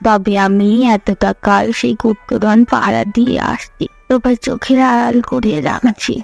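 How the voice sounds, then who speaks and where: Woman voicing a creaky old village granny. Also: a little high, steady tone, moderate pace, soft background music, exaggerated voice. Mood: solemn, knowing. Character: old granny with a magic book, knowing, solemn guardian of treasure. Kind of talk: cartoon fairy tale voice acting.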